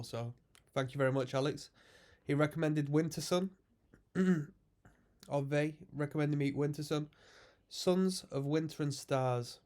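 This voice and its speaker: A man's voice: medium pitch, darkish tone. A man with a stuffy cold, chatting casually as he reacts to a metal song and explains what the guitars and synths are doing. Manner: chatty, musing, explains music terms. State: congested from a cold. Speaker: music reaction host.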